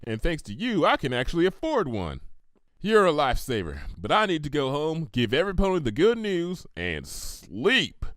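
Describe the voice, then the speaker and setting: A weary cartoon farm mare, acted out by a man who talks in a folksy, wry, plain-spoken way. The delivery is very animated. The sound is dry and close. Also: on the high side, normal speed, no room sound, voice pushed down. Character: tired, folksy adult female farm pony, plain-spoken.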